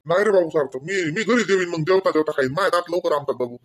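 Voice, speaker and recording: A man's voice: on the high side, fast talker, lightly acted. A man voicing a grumbling but caring old farmer father in a comedy cartoon, insistent, with a rural Marathi accent.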